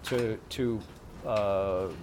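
Low-voiced male spokesman, hesitant, noisy outdoor press scrum. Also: steady tone. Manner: halting, many fillers and restarts. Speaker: development spokesman. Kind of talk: press conference answers.

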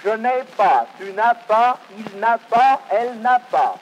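A man teaching a language lesson, reciting pronunciation and verb drills in a measured, repetitive way. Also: high-pitched, expressive, muffled recording, slight background noise.

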